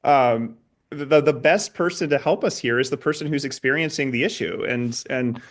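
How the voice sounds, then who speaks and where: A male interviewer with a mid-pitched voice in a conversational exchange. He sounds earnest and feels his way through sentences, doubling back on words as he thinks out loud. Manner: earnest, halting, repeats words as he thinks aloud. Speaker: interviewer, host.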